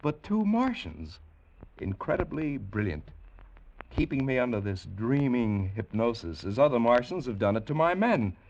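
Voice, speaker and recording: Intense space captain, swooping intonation. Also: male voice, mid-range voice, sing-song, normal speed, darkish tone, dull audio, a little hiss or hum. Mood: brooding, suspicious. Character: earnest, suspicious space captain reasoning out his dread. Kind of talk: 1950s radio drama acting.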